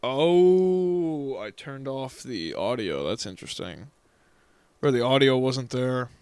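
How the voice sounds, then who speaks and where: A man's voice: mid-range voice, lively intonation, slow, a little mellow, clean tone, no echo. Joking, rambling let's play guy. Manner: joking, rambling, swears, many fillers. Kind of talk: casual gameplay commentary.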